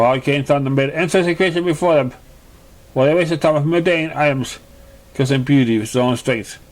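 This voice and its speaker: A man narrating a fantasy fan story in an amateur read-aloud style, halting and stumbling over words. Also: medium pitch.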